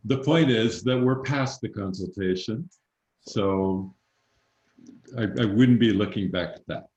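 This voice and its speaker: A male voice coach speaks in a firm, matter-of-fact way. His voice sits somewhat low, and the recording carries a roomy echo. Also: unhurried, darkish tone, muffled recording. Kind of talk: voice lesson conversation.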